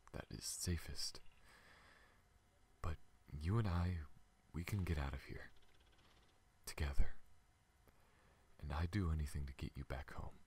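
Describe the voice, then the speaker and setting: An extremely deep-voiced male alien , performed by a man, speaks slowly and tenderly in an ASMR audio roleplay. He is shy and apologetic, hesitating softly and often dropping to a near whisper. Ambient noise sits behind the voice. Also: gravelly, exaggerated voice. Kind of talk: ASMR roleplay, intimate scripted acting.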